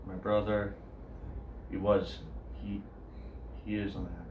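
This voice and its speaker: Guy acting as a sad quiet cook confessing stuff in a monologue, hesitant, roomy echo. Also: on the low side, level tone, a little slow, recorded from a distance, lo-fi sound, pitched below the performer's own voice, natural voice. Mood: subdued, grieving. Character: grieving male cook, subdued, haunted by his brother. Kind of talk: dramatic monologue, naturalistic screen-style acting. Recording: echoey room, faint noise.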